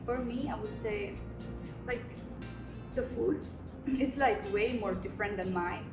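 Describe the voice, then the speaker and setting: A woman's voice: on the high side, measured pace. A young woman from Bangladesh talks casually as a student guest, hedging her points as she goes. She sounds a little away from the mic. The room is echoey, with music playing behind her. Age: young adult. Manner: loose and hedging, says "I would say" and "like" often. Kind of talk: casual chat. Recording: roomy sound from a distance with music.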